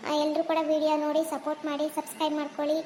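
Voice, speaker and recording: A woman who makes cartoons speaks to her viewers. She explains her changes plainly and pauses with small fillers. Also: high-pitched, monotone, mellow, a little hiss or hum. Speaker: cartoon channel creator. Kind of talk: channel announcement.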